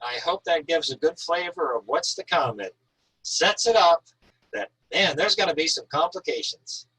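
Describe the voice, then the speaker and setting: American man, author at a book talk, slightly high voice, forceful bright tone, raspy edge. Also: expressive, normal speed, low-quality recording. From Northern Maine, USA. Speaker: author reading and discussing their own thriller. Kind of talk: prose reading and informal book talk.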